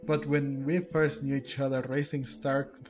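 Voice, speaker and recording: A man with a mid-pitched voice talks casually as a game streamer, reacting and reading text aloud. Faint music sits behind him. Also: flat delivery, a little slow, darkish tone.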